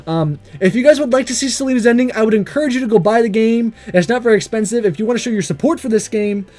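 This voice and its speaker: Excitable high-voiced man playing a game. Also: fast talker. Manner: gushing, excitable. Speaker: let's-play host. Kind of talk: reading a visual novel aloud with excited commentary. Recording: faint music behind. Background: soft game music.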